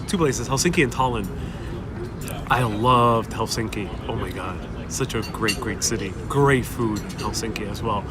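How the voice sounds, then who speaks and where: Chatty young livestream host, busy street. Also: male voice, medium pitch. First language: Spanish. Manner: reads viewer questions aloud and rambles warmly. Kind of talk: casual live-stream chat. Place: outdoors on a busy city street. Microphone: wireless clip-on mic. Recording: outdoor street with clear crowd noise. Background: street crowd and passing traffic.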